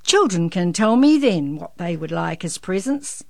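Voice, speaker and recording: A woman plays a big, jolly old Santa from a children's storybook. The voice booms out heartily and kindly, taking its time over the words, close and dry. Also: sing-song, measured pace, creaky, a little mellow, dry recording, heavily acted. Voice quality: big, booming.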